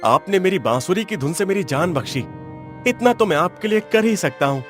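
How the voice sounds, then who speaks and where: A humble wandering flutist, played by a man, with a high-pitched voice. He talks deferentially and formally, a loyal subject in a cartoon folk tale. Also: expressive, a little fast, soft background music. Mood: humble, respectful. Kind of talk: Hindi cartoon story dialogue.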